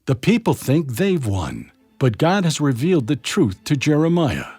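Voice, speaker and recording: Slightly husky, animated male storyteller. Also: a little high, moderate pace. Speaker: narrator. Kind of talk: dramatic scripted storytelling for children.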